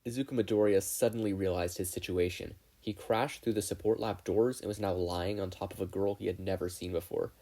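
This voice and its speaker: A man's voice: deep voice, fairly even intonation, a little fast, few pauses, warm tone. A man reading scripted story narration as an audiobook narrator.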